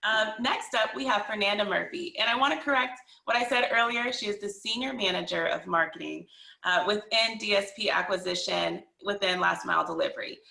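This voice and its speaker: A woman's voice: mid-range voice, fairly quick, gravelly, pushed and bright. A woman moderating a panel, introducing speakers in a conversational way. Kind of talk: conversational hosting of a virtual panel. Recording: very echoey room.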